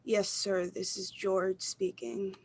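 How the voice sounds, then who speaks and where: A woman's voice: mid-range voice, monotone, takes it slowly, slightly rough. A woman playing a cold-blooded male hired killer in a suspense radio play, matter-of-fact and reassuring. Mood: cold, businesslike.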